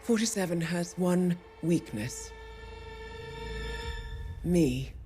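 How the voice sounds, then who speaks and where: Low-voiced, scheming spy handler. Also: female voice, animated. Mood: cool menace. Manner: composed, deliberate, articulate. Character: cool, scheming, self-assured female spy handler. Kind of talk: dramatic video game cutscene acting. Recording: cinematic music behind.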